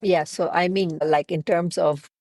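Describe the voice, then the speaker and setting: Kenyan woman, mixed accent, low voice, restarts a lot, dry close sound. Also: fairly even intonation, unhurried, a little mellow, no echo. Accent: mixed British, American, Indian and Kenyan. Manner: thoughtful, repeats words at starts of phrases. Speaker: guest, travel planner. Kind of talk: casual interview chat.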